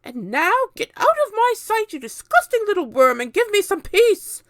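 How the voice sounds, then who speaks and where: A woman's voice: very animated, takes it slowly, no echo, full character voice. A woman voicing a bony, vain and spiteful old aunt who screeches her words. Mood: furious. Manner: screeching, shrill and harsh.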